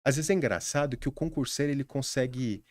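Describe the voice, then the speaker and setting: A man's voice: mid-range voice, fast talker, no echo, clean studio sound. A young adult man with a Brazilian accent, a podcast guest earnestly sharing study advice in casual conversation, with frequent restarts. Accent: Brazilian Portuguese.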